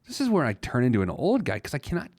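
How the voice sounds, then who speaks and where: Man with swooping, animated delivery, dry studio. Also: mid-range voice, fast talker, no room sound. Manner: wry, mock-exasperated, jokey. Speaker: podcast host. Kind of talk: comedic podcast monologue.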